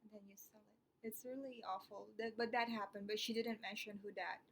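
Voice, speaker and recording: A woman's voice: mid-range voice, steady tone, brisk, fairly bright tone, low-quality recording. A young woman from the Philippines with a Filipino English accent, explaining things in a chatty, rambling vlog full of ums and asides. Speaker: vlogger.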